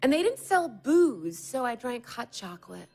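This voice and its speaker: An actress playing a candid, wistful, playful young American woman in a naturalistic audio play, confiding and animated. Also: a little high, moderate pace. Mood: animated storytelling.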